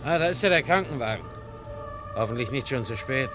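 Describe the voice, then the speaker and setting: An actor playing a calm, matter-of-fact policeman who soothes with unhurried authority in a vintage radio crime play. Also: mid-range voice, noisy background, close to an everyday voice. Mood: calm, official.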